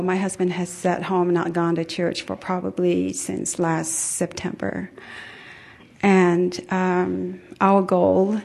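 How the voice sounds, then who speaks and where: A middle-aged woman from Tonga giving an informal personal testimony to a group, pausing often as she goes. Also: lowish voice, gravelly, darkish tone. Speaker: attendee sharing personal story at a conference.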